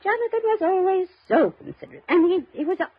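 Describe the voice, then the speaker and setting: An actress playing a sugary, genteel older widow who rambles on politely in a 1950s radio drama. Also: female voice, extremely high pitch, animated, fast. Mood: wistful, rambling. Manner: fluttery, rambling, folksy politeness. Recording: dull vintage 1950s radio recording.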